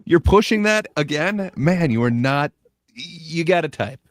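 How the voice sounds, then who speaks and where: A man's voice: on the high side, lively intonation, moderate pace, dry recording, clean studio sound. A man chatting casually as a panelist on a livestream.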